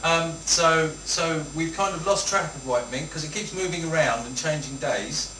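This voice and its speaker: A man's voice: a little high, moderate pace, bright, forceful tone, far from the mic. A British man hosting a casual unscripted news chat, teasing and mock-grumbling as he goes. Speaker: host of an amateur news show. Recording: echoey room, off mic, noisy hum.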